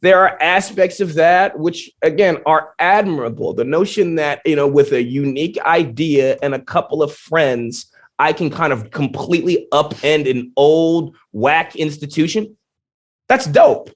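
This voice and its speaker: A man, a genetics professor, speaking in a podcast interview with emphatic, animated explanations full of rhetorical questions. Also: fairly high pitch, a little slow. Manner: energetic, emphatic, many restarts and rhetorical questions.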